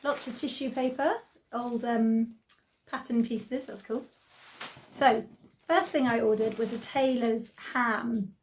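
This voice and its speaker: British woman, middle-aged, croaky from laryngitis, high-ish voice, chatty vlog host. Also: slow pace, a little hiss or hum. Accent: British English. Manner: chatty, excited, many ums. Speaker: sewing channel host. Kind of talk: casual vlog chat.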